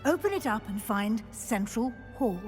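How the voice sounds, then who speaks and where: A woman's voice: on the high side, lively intonation, unhurried, soft background music. An actress playing a kindly middle-aged witch professor in a video game, with a British accent and an articulate, formal, instructive manner. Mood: brisk, welcoming. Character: brisk, kindly female witch professor guiding a new student. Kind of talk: scripted video game dialogue.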